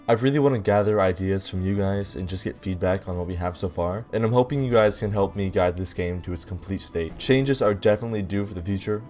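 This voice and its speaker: A man's voice: low voice, a little mellow. A man narrating a scripted video devlog, explaining things directly to his viewers. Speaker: video creator, game developer. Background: music.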